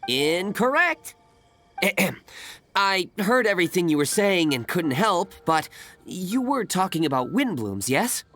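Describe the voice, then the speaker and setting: A woman's voice: on the low side, big pitch swings, slow pace, fairly bright tone, soft background music, heavily acted. A woman voicing an opinionated, pedantic fruit stall vendor in a video game, emphatic and pompous. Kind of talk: video game character acting.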